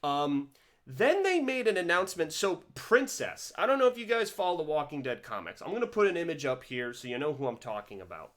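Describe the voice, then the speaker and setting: A man talks through a casual commentary vlog in a slightly high voice. He rambles and restarts his sentences often. His pitch and loudness swing in animated bursts. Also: a little fast. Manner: rambling, with frequent restarts and stumbles. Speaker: vlogger reviewing a TV series.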